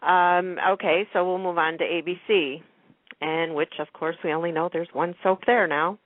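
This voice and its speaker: A woman's voice: fairly low pitch, fairly even intonation, normal speed, no echo. A woman hosting a radio chat in an informal, gushing way, often repeating her words. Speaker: radio show host. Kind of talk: casual chat about soap operas. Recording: narrow phone-like radio line.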